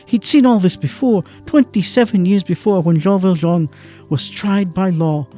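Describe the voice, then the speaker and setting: An actor as a solemn storyteller, giving dramatic literary narration in an audio drama with deliberate phrasing. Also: male voice, high-pitched, warm tone, soft background music, natural voice. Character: solemn male storyteller of a literary radio play.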